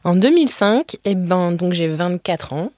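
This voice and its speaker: A woman's voice: on the low side, animated, measured pace, darkish tone, dry recording. Frank French-speaking woman telling her story. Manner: candid, frank storytelling with many fillers and restarts. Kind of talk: casual podcast conversation.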